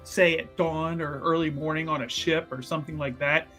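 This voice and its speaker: A man describing his character in a tabletop roleplaying game, his voice bright and slightly raised in pitch. He rambles and restarts often, stacking fillers as he thinks aloud, with faint music playing underneath. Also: little pitch movement, normal speed. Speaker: player. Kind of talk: actual-play tabletop roleplay.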